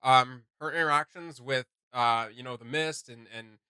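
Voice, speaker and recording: Bright-voiced man chatting casually. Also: mid-range voice, normal speed, smooth, no room sound. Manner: hesitant, rambling, searching for words. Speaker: reaction video host. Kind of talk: casual chat reacting to game voice lines.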